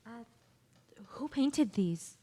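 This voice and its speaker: A woman's voice: a little high, expressive, drawn-out words, dark tone, full character voice. A woman playing a curious young woman, a classical dancer reborn, in a table read of a stage play.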